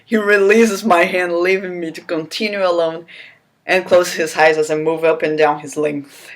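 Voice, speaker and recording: A woman's voice: fairly low pitch, fairly even intonation, moderate pace. A woman giving a theatrical, mocking dramatic reading of a novel, with incredulous asides. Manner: theatrical, incredulous, breaks off into laughing commentary. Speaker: reader.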